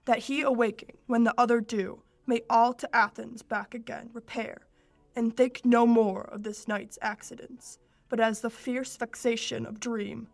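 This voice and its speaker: A young woman playing a proud fairy king, reciting Shakespearean verse in a measured, declamatory way for a radio play. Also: fairly high pitch, level tone, creaky, no room sound. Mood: commanding. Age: teens or young adult.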